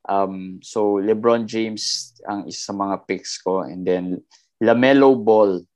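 A young man with a Filipino accent and a lowish voice chats through player picks, switching languages easily. The sound is dry and close, with no room behind it. It comes across as a video call. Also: takes it slowly, no room sound. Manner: relaxed, code-switching Tagalog and English. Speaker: fantasy basketball podcast co-host. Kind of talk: casual chat.

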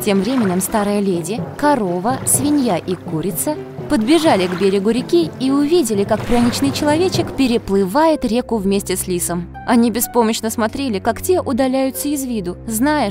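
Woman storyteller reading a kids fairy tale, fairly high voice, music behind. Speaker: narrator. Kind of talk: scripted children's fairy tale narration.

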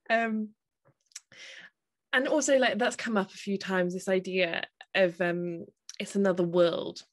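A woman's voice: medium pitch, fairly even intonation, takes it slowly, long pauses. A woman interviewing a guest for a festival, asking long questions with frequent hesitations. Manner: hesitant, many fillers, warm laughter.

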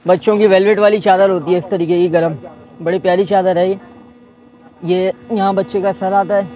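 A man, a shop owner calmly showing baby clothes in his market shop and quoting prices item by item. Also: sits high, highs cut off, slight background noise. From Pakistan. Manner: patient, listing items one by one. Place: busy market shop indoors.

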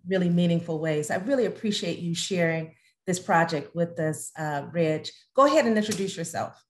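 A woman's voice: lowish voice, moderate pace, a little hiss or hum. A middle-aged woman hosting a talkback interview on a video call from a room at home. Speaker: host, theatre artistic director.

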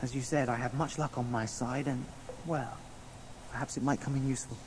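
A man's voice: medium pitch, fairly even intonation, a little fast, lightly acted. An actor playing a plucky, earnest young male adventurer with an English accent in a radio drama. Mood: earnest, determined. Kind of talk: radio drama acting with narration. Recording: noisy radio drama sound effects behind.

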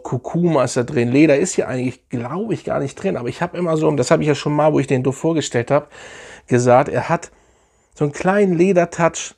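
A man in his thirties with a sore, hoarse throat, chatting enthusiastically as he reviews fragrances on camera. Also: medium pitch, darkish tone. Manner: enthusiastic, chatty, drawn-out emphatic phrases. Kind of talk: casual product review vlog. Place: living room, seated indoors.

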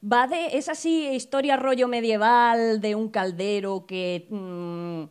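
A young woman hosting a comedy podcast on a small bar stage, talking into a handheld mic with animated mock outrage. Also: fairly high pitch, takes it slowly, smooth. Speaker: podcast host. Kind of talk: casual comedic banter.

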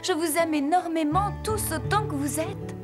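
A woman voicing a sweet, earnest young princess in a French cartoon dub, with expressive delivery. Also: very high-pitched, normal speed. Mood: worried, gentle. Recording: loud music under the voice.

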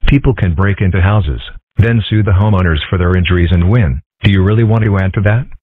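Man as stilted comic-strip animal, deadpan, low voice, narrow phone-like sound. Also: brisk, slightly rough, no echo. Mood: dry.

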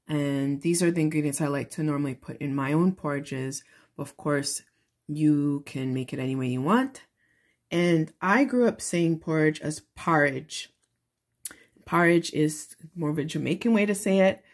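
A woman's voice: sits low, a little mellow. A woman hosting a casual home cooking demonstration, explaining things in an encouraging way. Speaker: host of a home cooking show.

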